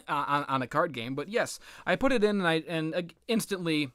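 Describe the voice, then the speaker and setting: A man's voice: a little high, animated, normal speed, fairly bright tone, no echo, studio quality. A man doing casual, rambling video game commentary, sweary and self-deprecating, with a sardonic, sometimes theatrical way of talking. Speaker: gaming commentator.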